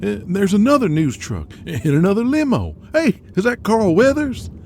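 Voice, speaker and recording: A man voicing an excitable, emphatic male wrestling promoter in an audio drama, gushing and nervously hurried. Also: high voice, vocal fry, a little hiss or hum, voice pushed up, heavily acted. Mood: excited. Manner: emphatic, exclamatory. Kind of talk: audio drama character acting.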